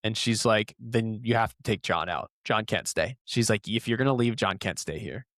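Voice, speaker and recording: A man's voice: fairly low pitch, moderate pace, a bit bright, no echo, studio quality. A man co-hosting a casual book podcast, giving his opinions in informal, slangy everyday speech. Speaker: podcast co-host. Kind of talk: casual book discussion chat.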